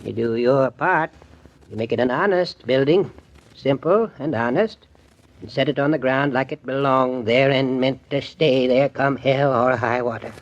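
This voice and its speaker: A man's voice: medium pitch, moderate pace, natural voice. An actor playing a plainspoken, earnest older small-town man in a 1940s radio drama, with homespun grammar. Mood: solemn. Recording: dull lo-fi old radio broadcast.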